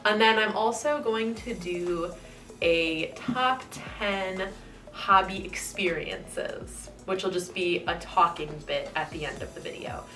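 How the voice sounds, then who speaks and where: A woman's voice: fairly low pitch, sharp tone, far from the mic. Congested, chatty woman in an echoey room. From US Midwest. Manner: chatty, enthusiastic, rambling with fillers. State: congested, nasal from a winter cold. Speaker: model horse collector and channel host. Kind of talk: casual vlog talk to camera. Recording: echoey room, voice a bit off-mic, faint music.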